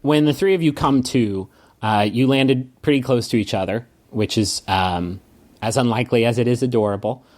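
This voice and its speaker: A man narrating a tabletop game as game master, halting and dramatic, improvising as he goes with pauses. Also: medium pitch, darkish tone. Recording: music under the voice. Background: background music.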